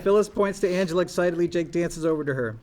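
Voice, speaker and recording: A man's voice: high voice, fast talker, crowd noise. A man reading stage directions aloud as scripted narration at a live table read. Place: live table read in a room with people laughing. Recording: noisy live room recording.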